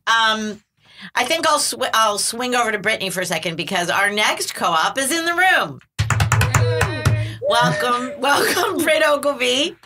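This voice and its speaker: A woman's voice: on the high side, animated, a little slow, heavy background noise. A woman hosting a casual group chat, laughing often as she talks. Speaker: podcast host.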